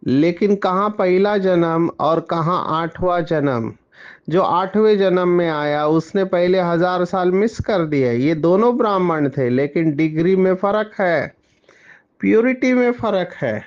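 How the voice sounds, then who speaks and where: Slow, clear-voiced male spiritual teacher. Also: sits high, animated, dull audio. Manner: slow, explanatory, mixing in English words. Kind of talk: spiritual discourse.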